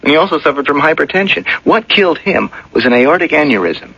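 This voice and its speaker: A matter-of-fact doctor in an old radio play, raspy and lively, muffled sound. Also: male voice, on the high side, quick pace, heavily acted. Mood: reassuring, firm. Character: frank, matter-of-fact male hospital doctor. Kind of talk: radio drama acting. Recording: dull old radio broadcast.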